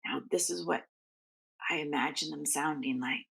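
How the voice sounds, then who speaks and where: A woman reading a children's story aloud like a teacher, expressively, with drawn-out phrasing and hushed asides. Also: deep voice, creaky.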